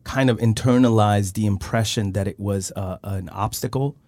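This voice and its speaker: A man from Sweden hosting an interview, speaking thoughtfully and often pausing to search for words. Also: on the low side, a little mellow, no room sound. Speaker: host. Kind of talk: conversational interview.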